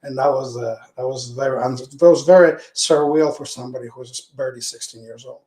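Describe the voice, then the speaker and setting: A man answers interview questions earnestly, stopping and restarting his phrases as he recalls things. His mid-pitched voice rings in an echoey room. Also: fairly even intonation, warm tone. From Poland. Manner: earnest, halting, restarts phrases while telling stories. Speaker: interview guest, former pro goalkeeper.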